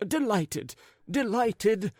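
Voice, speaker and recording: A man voicing a foolish gentleman in a raccoon coat who is flustered, stammers and repeats himself. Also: high-pitched, expressive, extremely slow, no room sound, clean studio sound, voice pushed up, full character voice. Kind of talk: audiobook character voice.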